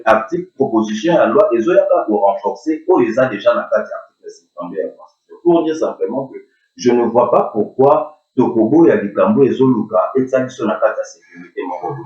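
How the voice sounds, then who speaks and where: A man's voice: medium pitch, a little mellow. A man with a Congolese accent speaking as a panel speaker in a public debate, hesitant and full of restarts. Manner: switches between French and Lingala, hesitant, many restarts. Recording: roomy, distant, dull lo-fi sound.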